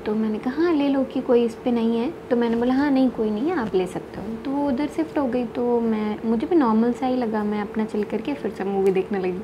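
Young woman vlogger, high voice, chatty storyteller, phone at arm's length over background noise. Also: a little fast, hardly any pauses, lo-fi sound. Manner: chatty, mixes English words into Hindi. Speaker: travel vlogger. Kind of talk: casual vlog chat. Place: small bedroom at home, indoors. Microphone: phone camera at arm's length. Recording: noisy train and station ambience.

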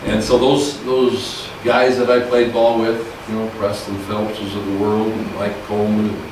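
Loud older man speaking from a podium. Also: fairly low pitch, monotone, moderate pace. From US (Idaho). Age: 60s. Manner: loud, folksy and reminiscing. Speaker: guest speaker, government teacher. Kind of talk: informal speech to a small audience. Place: small meeting room, indoors. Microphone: podium mic, heard from afar. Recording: echoey room, distant camera mic, hiss.

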